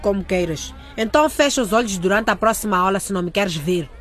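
A woman's voice: medium pitch, quick pace, close to an everyday voice. An actress playing a pushy, defensive teenage schoolgirl in a radio drama, speaking insistently. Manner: insistent, exclamatory.

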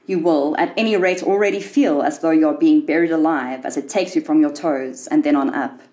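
A woman narrates scripted fiction in a voice a little lower than most women's. Her delivery is measured and literary, with a dry, wry edge to the storytelling. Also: a little mellow. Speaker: author reading own short story.

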